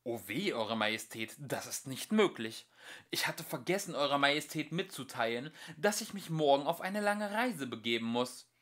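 A man voicing a cowardly, blustering charlatan in a storybook, excitable, by turns pleading and bullying. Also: mid-range voice, normal speed, close to an everyday voice. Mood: anxious pleading. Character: sly, cowardly, blustering male charlatan wizard.

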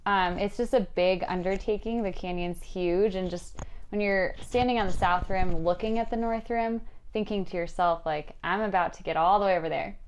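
A woman's voice: mid-range voice, normal speed, a little hiss or hum. A woman co-hosting a casual podcast, talking modestly with frequent ums and light laughs. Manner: modest, giggly, many ums. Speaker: podcast co-host. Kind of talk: casual chat.

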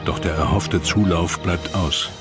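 A man narrating a scripted history documentary in a measured, dramatic storytelling manner. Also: low-pitched. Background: music.

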